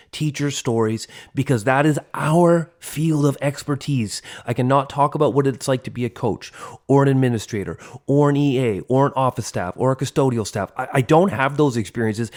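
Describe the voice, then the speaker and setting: A man's voice: medium pitch, darkish tone. A man in his forties co-hosting a teachers' podcast, speaking in an earnest, discursive way and often rewording himself as he reflects. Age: 40s.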